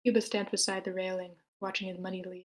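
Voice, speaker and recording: A woman's voice: lowish voice, flat delivery, fast talker. A woman reading stage directions in a matter-of-fact way at a screenplay table read.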